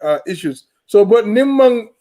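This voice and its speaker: High-voiced man hosting a panel. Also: animated, dark tone. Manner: measured, explaining, often asks "you understand?". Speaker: talk show host. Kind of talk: panel discussion, mixing English and a local language.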